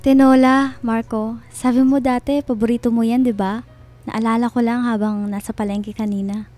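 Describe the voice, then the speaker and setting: An actress playing a gentle, attentive household helper in a Filipino radio drama, speaking with a Filipino accent in a soft, comforting way. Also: female voice, on the high side, flat delivery, normal speed, soft background music, natural voice. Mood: tender, reassuring. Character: gentle, caring female household helper, devoted and quietly attentive.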